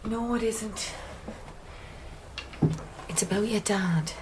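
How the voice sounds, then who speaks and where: A woman's voice: medium pitch, slow pace, background noise, natural voice. A woman playing a weary, overwrought working-class mother in a radio drama, pleading and tender, with a North East English accent. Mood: hushed, grave. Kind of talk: naturalistic 1990s radio drama acting.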